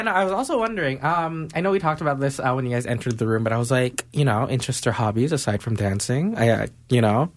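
A man hosting a casual campus interview, rambling and teasing his guests and laughing often. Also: mid-range voice. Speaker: host.

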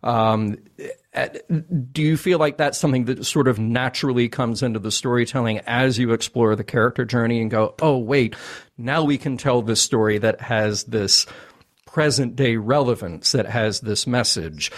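Podcast host, male, average pitch, conversational interviewer who circles toward his point. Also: moderate pace.

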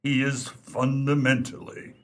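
A man's voice: medium pitch, monotone, unhurried, a bit bright, close to an everyday voice. A man acting in a radio play as an unflappable, deferential English valet who speaks formally and with discreet opinions. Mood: frank, measured.

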